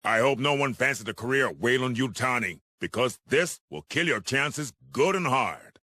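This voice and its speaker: A man's voice: mid-range voice, expressive, normal speed, pushed and bright, a little hiss or hum. A man playing a bitter, resolute mission leader giving a blunt, sardonic briefing in a video game. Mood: sardonic resolve. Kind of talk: video game cutscene acting.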